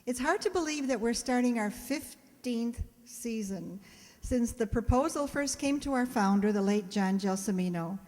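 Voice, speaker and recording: A woman's voice: medium pitch, mellow. An older woman giving a prepared introduction as the presenter of a radio players' show. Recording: long hall reverb.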